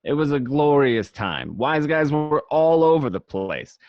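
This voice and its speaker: A man's voice: fairly high pitch, lively intonation, a little slow, dry recording. A man playing a nostalgic gangster who narrates his youth among mobsters in an amateur radio play. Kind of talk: first-person dramatic narration. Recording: dry, slightly dull home recording.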